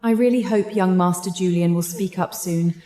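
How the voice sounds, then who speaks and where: A woman voices a caring middle-aged mother in a dubbed drama, gentle and earnest. Her voice sits low and mellow. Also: normal speed, soft background music, natural voice. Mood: worried. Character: worried, kindly mother of a wealthy household.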